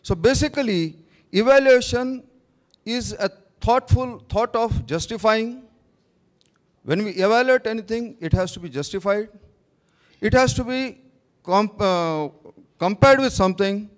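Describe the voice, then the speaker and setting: Man, higher voice, halting informal speaker, lots of restarts. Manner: halting, with restarts and cut-off words. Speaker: speaker at a training meet. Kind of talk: informal talk to an audience.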